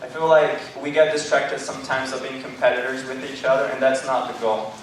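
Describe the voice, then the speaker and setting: A man's voice: mid-range voice, level tone, brisk. Young man, Brazilian Portuguese accent, upbeat student speech; distant echoey room recording with background noise. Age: young adult. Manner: joking, earnest, upbeat. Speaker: student club candidate. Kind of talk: campaign speech with slides. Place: lecture room. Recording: echoey room, mic far off, noisy.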